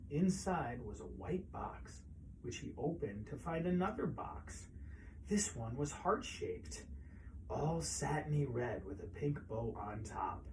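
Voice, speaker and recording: A man reading a children's picture book aloud as a storyteller, expressively, with pauses and emphasis. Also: medium pitch, noisy background.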